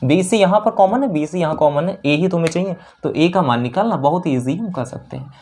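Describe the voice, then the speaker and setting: A man's voice: fairly high pitch, a little fast, a little mellow. A man with a North Indian accent teaches maths step by step, repeating key phrases and often checking in with short questions. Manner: instructive, repetitive, with frequent check-in questions. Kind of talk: lesson explained while solving problems.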